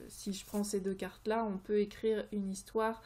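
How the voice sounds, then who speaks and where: A woman presents creative ideas in an informal, unscripted way. She speaks haltingly, often searching for the right word as she explains each step. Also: medium pitch, flat delivery, dark tone. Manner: hesitant, halting, searching for words. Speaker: creativity vlogger presenting tarot card ideas. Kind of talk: informal tutorial talk, unscripted.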